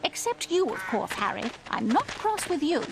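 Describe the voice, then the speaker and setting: A woman's voice: high voice, big pitch swings, moderate pace, gravelly, noisy background, exaggerated voice. A woman playing a fussy, scolding middle-aged mother witch with a British accent in a video game. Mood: motherly, brisk. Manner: scolding, exclamatory.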